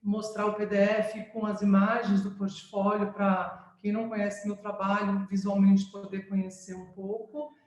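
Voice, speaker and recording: A woman's voice: mid-range voice, a little mellow. Thoughtful woman on an echoey video call. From France, raised in São Paulo. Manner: thoughtful, searching for words, frequent tag questions. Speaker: visual artist presenting her work. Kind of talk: informal talk over a video call. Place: her art studio. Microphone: video call microphone.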